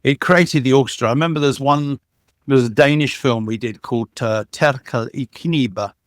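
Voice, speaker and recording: A man of middling pitch talks as a guest in an interview, rambling through his stories with plenty of false starts and fillers. He sounds eager and enthusiastic, and his voice comes across close and dry, with no room sound. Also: moderate pace, no room sound. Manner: rambling, enthusiastic storytelling with many restarts and fillers. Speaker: guest, sound engineer and dubbing supervisor. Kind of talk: casual interview conversation.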